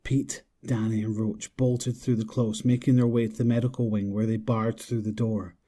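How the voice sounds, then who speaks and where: A man's voice: on the low side, little pitch movement, moderate pace, mellow, husky. A man narrating a scripted horror drama in a dramatic storytelling style.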